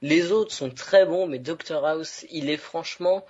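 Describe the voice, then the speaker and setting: Chatty French man with slightly high pitch. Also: unhurried, a crowd in the background. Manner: enthusiastic, chatty, many fillers. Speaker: podcast host. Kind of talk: casual podcast chat. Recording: dull lo-fi podcast audio.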